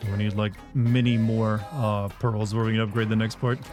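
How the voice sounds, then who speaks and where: A man's voice: fairly low pitch, level tone, normal speed, darkish tone, soft background music. Rambling joking male game streamer. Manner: rambling and joking, lots of fillers like "like". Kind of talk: casual live gameplay commentary.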